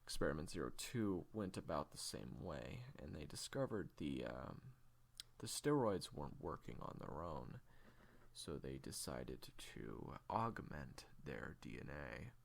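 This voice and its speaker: A weary, secretive assassin played by a man in an audio roleplay, speaking very low and slowly. The voice is gravelly and often sinks toward a whisper, with halting, deliberate phrasing that rises and falls widely as the grim story unfolds. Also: sing-song, exaggerated voice. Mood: grim, subdued. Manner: halting, deliberate, with heavy pauses. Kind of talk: hushed confessional storytelling in audio drama.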